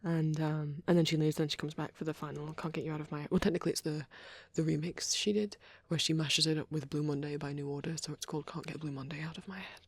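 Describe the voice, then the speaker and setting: A shy young vampire boy played by a woman rambles softly in an ASMR roleplay. The voice is lowish, breathy and creaky, hesitant and close in a dry space, often sinking toward a whisper. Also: steady tone, quick pace, hardly any pauses, dry recording. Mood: shy, musing. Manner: soft-spoken, hesitant, often dropping into whispers.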